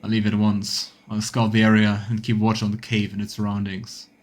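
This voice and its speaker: A man's voice: fairly low pitch, completely flat delivery, moderate pace, natural voice. A man reading game dialogue aloud as an earnest, determined cleric who reports to a commander with emphatic phrasing. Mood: grateful, eager.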